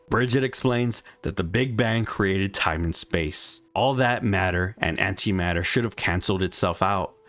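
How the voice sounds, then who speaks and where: A man reading a scripted story recap in a measured, explanatory storytelling manner. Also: low voice, fairly bright tone. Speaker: narrator of a video game story recap. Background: background music.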